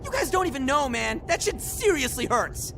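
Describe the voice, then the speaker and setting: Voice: high-pitched, sing-song, moderate pace, creaky, noisy background, full character voice. An actor playing a cocky, slangy, hot-headed young punk in an anime-style video game. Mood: teasing, cocky. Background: loud music.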